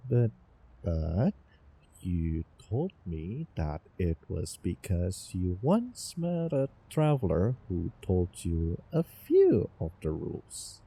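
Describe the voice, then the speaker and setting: A man playing a doting, obsessive young student from the future in a halting, intimate audio drama monologue with nervous chuckles. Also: low-pitched, husky, heavily acted. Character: doting, obsessive male time-traveling student in love. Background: soft background ambience.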